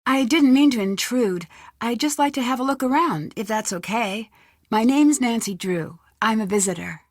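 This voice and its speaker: A woman playing an earnest, polite, persistent young detective in a video game, speaking clearly and in a conciliatory way. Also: on the high side, moderate pace, dry recording, clean studio sound, natural voice. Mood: friendly, conciliatory. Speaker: game protagonist.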